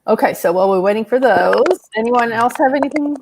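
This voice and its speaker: A woman's voice: a little high, lively intonation, quick pace, vocal fry, a little mellow, slight background noise, rough recording. A woman moderating an online Q&A, upbeat and encouraging, with many fillers. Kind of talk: hosting a Q&A.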